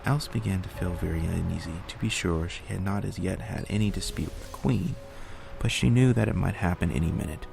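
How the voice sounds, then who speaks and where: Deep gravelly bedtime storyteller. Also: male voice. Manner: steady, unhurried storybook narration. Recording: soft music underneath.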